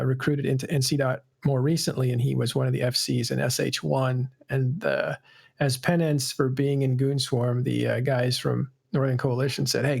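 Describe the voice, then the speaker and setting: A man's voice: mid-range voice, gravelly, a little mellow, husky. A man hosting a casual panel podcast, rambling and correcting himself as he goes. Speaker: podcast host.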